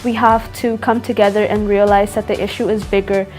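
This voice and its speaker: A woman's voice: medium pitch, little pitch movement, brisk, a little mellow, soft background music. A young woman speaking earnestly in a documentary interview. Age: young adult. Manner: earnest, uses fillers like "like".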